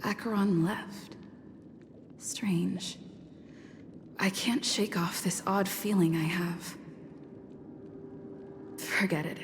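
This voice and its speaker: A woman's voice: medium pitch, fairly even intonation, extremely slow, long pauses, background noise. A woman voicing a young space traveller's musing inner thoughts in a video game, with a reverberant thought effect. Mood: pensive. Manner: musing, introspective. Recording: long cavernous reverb over music.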